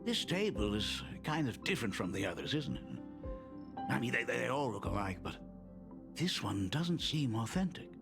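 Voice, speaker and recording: A man's voice: medium pitch, very animated, normal speed, gravelly, over music. An actor playing an eager, earnest artist and fond father in a video game, hesitant and full of wonder. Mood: curious, admiring. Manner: hesitant, marveling.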